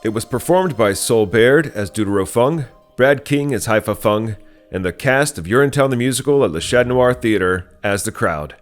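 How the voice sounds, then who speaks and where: A man's voice: medium pitch, lively intonation, normal speed, faint music behind. A man hosting a podcast, introducing and interviewing a guest in a conversational way. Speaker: podcast host.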